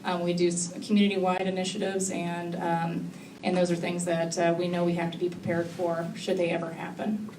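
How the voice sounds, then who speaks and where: A woman's voice: lowish voice, completely flat delivery, fairly quick. A woman on a community health panel, speaking hesitantly with many fillers. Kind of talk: panel discussion answer. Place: public library meeting room. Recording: echoey room, far mic, noisy.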